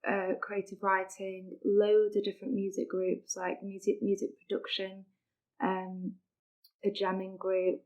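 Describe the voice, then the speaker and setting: A woman's voice: mid-range voice, a bit bright, dull audio. A young adult woman with a Sheffield accent, chatting casually as a podcast guest, with lots of fillers. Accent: Sheffield English. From Sheffield, England. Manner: chatty, many fillers and likes. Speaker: charity deputy director, podcast guest. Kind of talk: casual interview chat.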